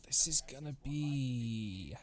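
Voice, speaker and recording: A man's voice: medium pitch, slow pace, a little mellow. A man acting as game master, narrating and refereeing a tabletop game among players. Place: online group game session.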